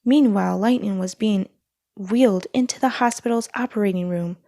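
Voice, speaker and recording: A woman's voice: mid-range voice, normal speed, dark tone, no echo, clean studio sound. A woman reading a children's storybook aloud as a scripted narration.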